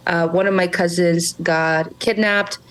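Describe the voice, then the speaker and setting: A young woman with a somewhat low voice speaks as a guest on a podcast. She is earnest and reflective, often stopping to restart her sentences and filling pauses with "um" and "you know.". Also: steady tone, a bit bright. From Monterrey, Mexico. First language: Spanish. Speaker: guest, brand founder. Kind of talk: casual podcast interview.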